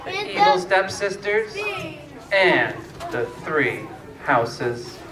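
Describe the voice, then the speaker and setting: A man narrating a scripted fairytale slowly and deliberately, with pauses, as a storyteller. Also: high-pitched, very animated, crowd noise. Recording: echoey room, distant mic, faint noise.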